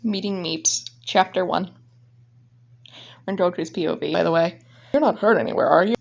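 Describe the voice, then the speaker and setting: A woman's voice: fairly low pitch, normal speed, vocal fry. A woman casually reading her own fan fiction aloud, informally breaking off to comment on it. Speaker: fan fiction writer and reader. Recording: dull, lo-fi microphone sound.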